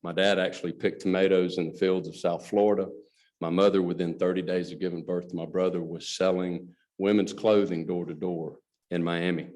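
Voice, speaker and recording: A man's voice: low-pitched, little pitch movement, fairly quick, darkish tone. A middle-aged man giving an earnest luncheon speech as a company president. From Alabama, USA. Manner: earnest storytelling, addresses audience directly. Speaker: guest speaker, company president.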